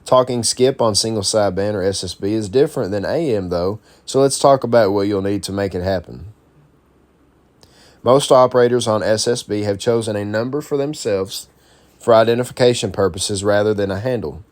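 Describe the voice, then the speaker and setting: A man's voice: on the low side, brisk. A man presenting an instructional guide, reading a script aloud in an informal, explanatory way. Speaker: presenter of a CB radio how-to guide.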